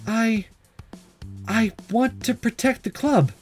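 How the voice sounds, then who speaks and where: Game player putting on an anxious teen girl, higher voice, defensive and halting, music behind. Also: darkish tone, lightly acted. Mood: flustered. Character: anxious, defensive high school girl in swim club.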